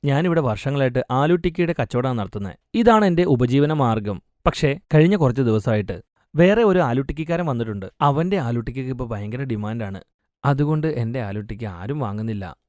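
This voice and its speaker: Guy acting nice young street vendor in a cartoon story, earnest n reassuring, talks fast, voice goes up and down a lot. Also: mid-range voice, expressive, a little mellow, no echo, clean studio sound, natural voice. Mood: dejected. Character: kind, earnest young male street food seller. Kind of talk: acted dialogue in a cartoon moral story.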